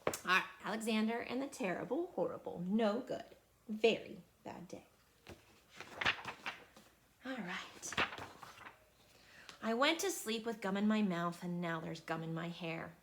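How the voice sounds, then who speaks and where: A woman reading a children's picture book aloud expressively, with dramatic emphasis and drawn-out phrases. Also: medium pitch, background noise. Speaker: storybook reader.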